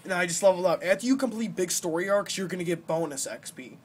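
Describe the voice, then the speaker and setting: A young man giving casual gameplay commentary, explaining things in a halting, rambling way as the host of a gaming video. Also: sits high, expressive. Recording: noisy amateur home recording.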